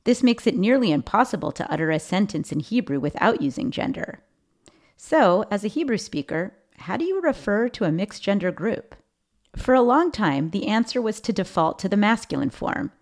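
A woman hosting a podcast, giving a clear scripted narration with light rhetorical questions. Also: mid-range voice, animated, moderate pace, darkish tone. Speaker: podcast host.

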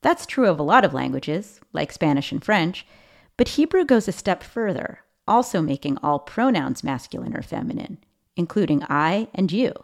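Low-voiced woman narrating a podcast. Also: very animated, normal speed. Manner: clear, explanatory delivery with light rhetorical questions. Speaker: podcast host.

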